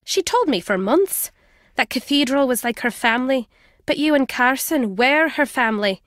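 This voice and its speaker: A woman voicing a guilt-ridden, earnest middle-aged former journalist in a video game, in a measured, confessional way. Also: high voice, animated, fairly bright tone, no room sound, studio quality. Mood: earnest, regretful. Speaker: voice actor.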